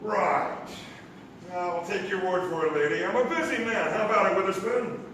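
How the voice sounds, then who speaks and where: An actor playing an impatient, no-nonsense police lieutenant in a live radio comedy. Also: male voice, high voice, normal speed, natural voice. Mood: brusque, impatient. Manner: brusque, no-nonsense. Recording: echoey stage, far from mic, faint noise.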